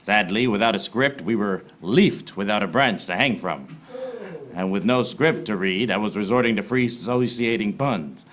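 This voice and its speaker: An actor playing a wry, hard-boiled private eye who narrates a parody noir radio serial in deadpan, recorded live on stage. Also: male voice, fairly low pitch, moderate pace, close to an everyday voice. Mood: deadpan world-weary. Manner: deadpan pun-laden monologue. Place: small theater stage before live audience.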